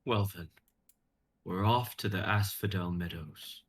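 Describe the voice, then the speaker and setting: A man's voice: low voice, unhurried. A young man playing a brusque, commanding underworld guide in a fantasy reading, with terse delivery. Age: young adult.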